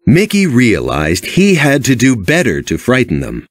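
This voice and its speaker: A man's voice: medium pitch, wildly swooping pitch, normal speed, loud music behind the voice. A man narrating a children's read-along storybook from a script.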